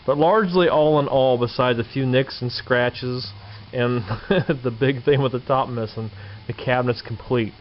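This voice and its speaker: A man's voice: medium pitch, a little slow. A man giving a casual, unscripted show-and-tell in a folksy, colloquial way, going through details step by step. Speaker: vintage radio collector presenting a find. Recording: dull lo-fi camera sound, background noise.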